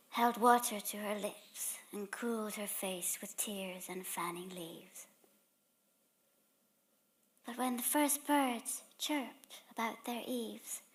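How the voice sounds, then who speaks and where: A woman giving a dramatic reading of narrative poetry, with phrases that fall into a hushed breathiness. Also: mid-range voice. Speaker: performer reading poetry on stage.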